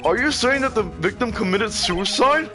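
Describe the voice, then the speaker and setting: Man putting on a high, excitable courtroom judge. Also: normal speed, over music. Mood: commanding. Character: flustered, excitable male judge presiding over a courtroom. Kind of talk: video game voice-over reading.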